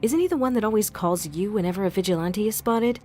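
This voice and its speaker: A woman playing a determined, methodical vigilante investigator in an audio crime drama, explaining things in a measured way. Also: mid-range voice, a little mellow, a little hiss or hum, close to an everyday voice. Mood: measured, serious.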